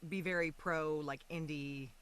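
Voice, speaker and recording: A woman's voice: low voice, steady tone, measured pace, a bit bright, clean tone. A woman speaking as a podcast guest in casual, informal conversation, often interrupting herself as she thinks aloud.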